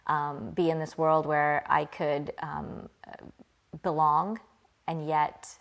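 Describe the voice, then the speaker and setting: A woman with a slightly low voice speaks as an interview guest. She tells personal stories casually. Her manner is reflective and conversational. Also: level tone, slow, gravelly. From born in England, grew up in small-town Virginia, USA. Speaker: interview guest, company CEO.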